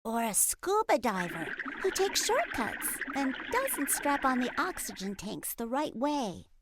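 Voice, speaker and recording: A woman's voice: high-pitched, sing-song, unhurried, very noisy, heavily acted. An actress playing a sassy, confident young girl in a children's audio story.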